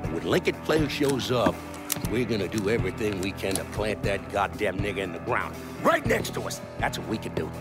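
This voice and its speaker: An actor playing a bitter, foul-mouthed mob boss in a video game cutscene, with a profane, emphatic, commanding delivery. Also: male voice, fairly low pitch, sing-song, brisk, loud music behind the voice. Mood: grim resolve.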